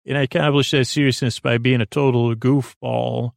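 A man hosting a bedtime podcast in a gentle, reassuring, informal way, meandering and halting with many false starts, in a creaky, gravelly voice. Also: mid-range voice, flat delivery, no echo, studio quality. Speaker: podcast host. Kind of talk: rambling, soothing bedtime podcast monologue.

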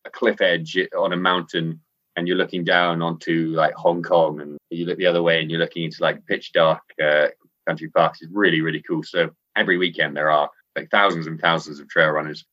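A man's voice: low-pitched, little pitch movement, brisk, dull audio. A man from Scotland with a faintly English-sounding accent, chatting enthusiastically as an interview guest over an internet call. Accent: English-sounding Scottish. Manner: chatty, enthusiastic, frequent fillers. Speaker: interview guest, sports editor.

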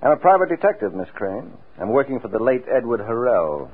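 A man's voice: medium pitch, sing-song, fairly quick, breathy edge. A man playing a cool, wisecracking, flirtatious private detective in a 1940s radio drama, with a breezy, sardonic, teasing way of talking. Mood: sly, teasing. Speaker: radio drama lead actor. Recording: tinny, band-limited old radio recording.

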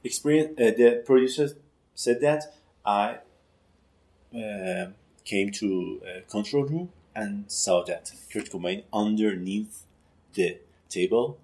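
A man in his thirties from Iran talks in halting English, his first language Persian. He sits a little low in pitch and stops and restarts as he hunts for words. The room around him rings back with noticeable echo. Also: animated, darkish tone. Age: 30s. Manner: halting non-native English with many restarts. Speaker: musician guest. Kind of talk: casual interview chat.

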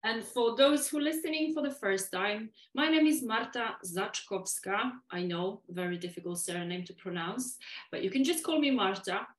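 A woman from Poland with a Polish accent, speaking English as a second language, who talks deliberately slowly as a podcast guest. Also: medium pitch, expressive, roomy sound. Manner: deliberately slowed, careful speech. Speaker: guest, waxing specialist. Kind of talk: podcast interview.

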